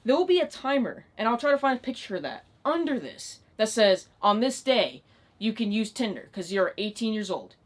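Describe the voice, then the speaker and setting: A woman's voice: a little high, big pitch swings, moderate pace. A nineteen-year-old woman ranting in a casual, unscripted vlog style, exasperated and emphatic, with plenty of swearing. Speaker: online video creator.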